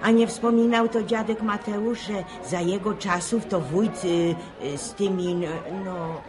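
An actress playing a spiteful, moralizing village gossip in a radio serial, with scandalized rustic delivery. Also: female voice, mid-range voice, steady tone, a little slow, natural voice. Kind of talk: Polish radio serial acting. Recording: old radio drama, music and crowd behind.

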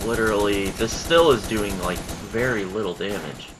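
A man's voice: fairly low pitch, normal speed, background noise. A man hosting casual gameplay commentary, sounding stuffy in the nose after just waking up, chatty and self-deprecating. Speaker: gaming channel host. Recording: game sound and gunfire behind.

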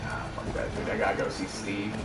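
A man's voice: on the low side, completely flat delivery, fairly quick, distant mic, background noise. A young man casually commentating a video game with a friend, joking crudely and laughing often. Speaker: gamer. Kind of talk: casual let's-play commentary. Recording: roomy, off-mic, game music under.

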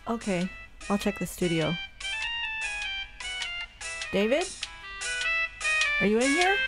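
A woman's voice: mid-range voice, sing-song, slow, music in the background. A woman playing a worried, caring character in a horror audio drama, speaking with urgency. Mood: uneasy. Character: worried, caring woman, alarmed for her friends.

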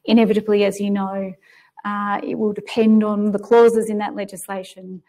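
A woman on a conference panel gives a measured, composed answer. Her pitch stays flat and level throughout.

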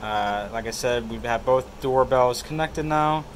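A man's voice: mid-range voice, unhurried, a little hiss or hum. A man giving a casual, hands-on tech tutorial, explaining each step plainly as a presenter. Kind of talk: hands-on product walkthrough.

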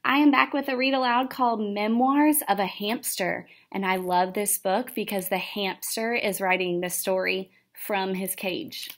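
A young adult woman reads a storybook aloud to children in a classroom, with a medium-pitched voice. Her delivery is expressive, in the way of a teacher at story time. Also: a little slow, few pauses. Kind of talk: expressive picture-book read-aloud. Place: indoor classroom.

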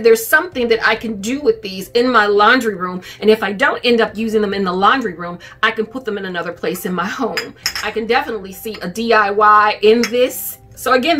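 A woman's voice: a little high, pushed and bright. A middle-aged woman chatting casually to camera as a vlogger, recorded indoors in a room at home. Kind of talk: casual shopping haul chat to camera.

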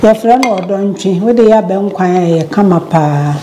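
An older woman from Ghana calmly talks through a home recipe in Twi, taking her time over each step. Her voice is at a middling pitch. Dishes and pans clatter clearly around her in the kitchen. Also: moderate pace, background noise. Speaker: home cook. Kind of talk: cooking demonstration.

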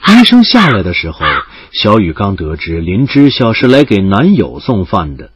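A man's voice: fairly low pitch, wildly swooping pitch, normal speed, husky, no room sound. A man giving scripted narration for an audio drama.

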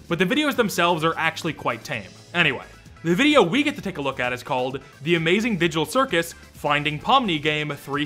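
Male YouTube commentator, higher-pitched and very expressive, wry and irreverent, reading a sarcastic script over music.

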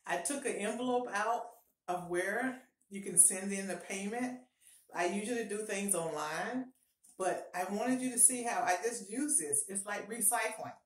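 A woman's voice: medium pitch, normal speed. Encouraging woman in an echoey bathroom. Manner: upbeat, encouraging, repeats key advice. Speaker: personal finance vlogger. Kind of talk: casual instructional vlog. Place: small home bathroom, indoors. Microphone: fixed camera at close range.

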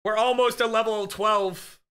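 A man in his thirties streaming from home on a headset, loudly greeting chat with excitable, profane shout-outs while he is losing his voice. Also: high voice, a little slow, clean tone. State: losing his voice, getting sick. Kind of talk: casual live-stream chat.

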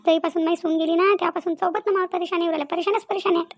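A woman's voice: very high voice, steady tone, rapid-fire. A woman playing an aggrieved middle-aged village mother-in-law in a cartoon comedy, complaining repetitively in a self-pitying way, with a Vidarbha Marathi accent. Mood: lamenting. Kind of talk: cartoon family comedy dialogue.

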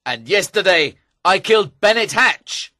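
A man voicing a hardened, weary male outlaw archer in an audiobook, with a forceful, emphatic delivery. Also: high-pitched, expressive, a little slow, no echo, studio quality, pitched above the performer's own voice, exaggerated voice. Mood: grim. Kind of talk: audiobook character reading.

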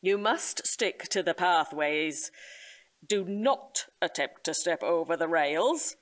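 An actress playing an earnest, solemn nature reserve guide, speaking in a measured, emphatic, formal way in an audio drama. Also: female voice, lowish voice, lively intonation. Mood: earnest, cautioning. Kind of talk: audio comedy drama acting.

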